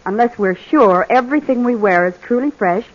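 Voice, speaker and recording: Prim high-voiced woman, old advert. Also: animated, moderate pace. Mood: prim concern. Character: prim, disapproving young woman lecturing on daintiness. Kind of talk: 1940s radio advert acting. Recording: muffled old radio recording.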